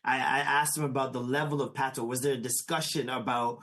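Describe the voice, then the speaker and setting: A man chatting casually as a panel guest, expressive and given to emphatic asides. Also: medium pitch, fairly quick.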